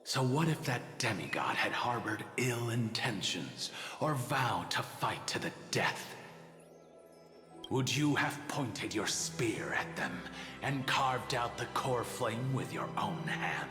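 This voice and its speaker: An actor playing a grave, world-weary man in a video game, speaking in a measured, theatrical way. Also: medium pitch, very animated, bright, forceful tone, full character voice. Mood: grave, probing. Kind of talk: dramatic video game voice acting. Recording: long echoing reverb, faint music.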